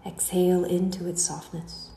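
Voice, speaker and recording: A woman leads a guided relaxation in a dark, mellow voice. She speaks unhurriedly and soothingly, letting phrases trail off at the end. The recording has a noticeably roomy echo with a faint layer of noise behind her. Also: lowish voice, flat delivery, moderate pace, creaky. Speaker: guided meditation instructor. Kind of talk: slow guided relaxation narration.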